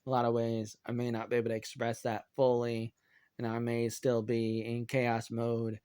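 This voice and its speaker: A man in his thirties with an American accent, giving a rambling personal update in a hesitant, halting way and often repeating himself. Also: mid-range voice, monotone, dark tone, clean tone, no echo, studio quality. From Oregon, United States. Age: 30s. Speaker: online video creator.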